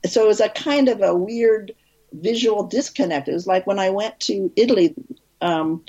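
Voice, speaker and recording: A woman film director, an interview guest, telling animated stories in casual conversation with frequent restarts. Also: moderate pace, highs cut off. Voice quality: husky, low.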